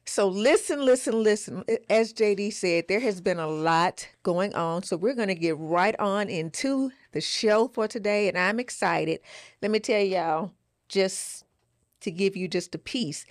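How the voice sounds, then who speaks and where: A woman co-hosts a casual podcast with an average-pitched voice. She is emphatic and enthusiastic, repeating words for punch. The sound is dry, with very little room. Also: a little slow, no room sound. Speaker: podcast co-host.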